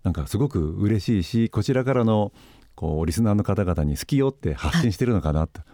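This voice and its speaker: A man with a mellow voice hosts a conversational radio talk. He speaks quickly and with lively, varied intonation, yet stays polite and reflective as he explains his points. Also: on the low side, dry recording, studio quality. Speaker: radio show host, marketing consultant.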